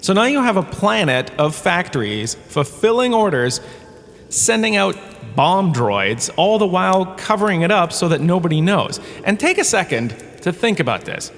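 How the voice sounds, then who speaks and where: A man's voice: high voice, big pitch swings, normal speed, creaky, full character voice. A man playing a glib, self-amused washed-up drama star giving a wry, conversational comedic lecture with theatrical emphasis. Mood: wry, explanatory. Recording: echoey cave-like reverb, ambient noise behind.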